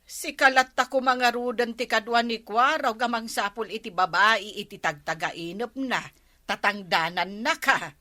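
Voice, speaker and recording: A woman's voice: a little high, moderate pace, bright, forceful tone. A woman playing a chatty, kind-hearted village housewife in a comedy drama. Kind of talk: comedy radio drama acting in Ilocano.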